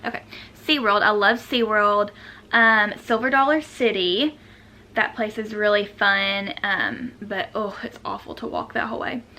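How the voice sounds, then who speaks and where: A young woman talks in a chatty, self-deprecating vlog style. Her voice is bright and sits at an average pitch for a woman. It is recorded at home with some faint background noise. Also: animated, unhurried. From Arkansas, United States. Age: 20s. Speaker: vlogger. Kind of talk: casual chatty question-and-answer vlog. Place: room at home.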